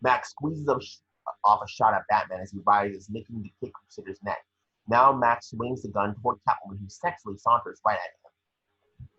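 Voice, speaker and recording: A man's voice: on the low side, moderate pace, pushed and bright, slightly rough, lo-fi sound. A man narrating screenplay action in an amateur table read, occasionally stumbling over the script. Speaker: scene-description reader.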